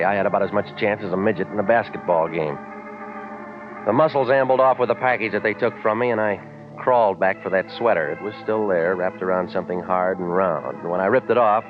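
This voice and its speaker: A low-voiced, wisecracking private eye played by a man in a 1940s radio noir. He is terse, deadpan and sardonic, on a muffled vintage recording with music. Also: close to an everyday voice. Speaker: narrating detective lead.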